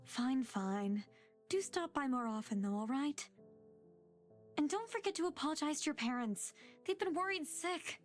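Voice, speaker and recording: Game role: nagging, affectionate innkeeper, high and animated, music underneath. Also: female voice, moderate pace. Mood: fond, nagging. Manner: chiding yet fond. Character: fond, scolding female innkeeper. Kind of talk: visual novel voice acting.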